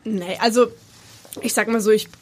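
A woman's voice: on the high side, steady tone, normal speed, a little hiss or hum. A woman in her twenties from Bremen, a football player answering interview questions with many fillers and hesitations. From Bremen, Germany. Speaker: women's football player, guest.

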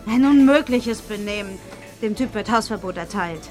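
Lady playing grumbling shop worker in a radio play, indignant, medium pitch, music behind. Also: animated, normal speed, a little mellow, natural voice. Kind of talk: German audio drama acting.

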